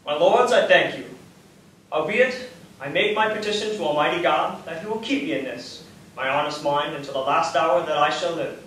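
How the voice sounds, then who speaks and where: A man playing a principled, unbending English statesman in a period stage play, with measured, declamatory delivery. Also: on the high side, fairly bright tone, lightly acted. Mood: solemn. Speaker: stage actor. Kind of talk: theatrical period stage acting. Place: large theatre stage, indoors. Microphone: distant camera in the audience. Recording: echoey theatre from far off, background noise.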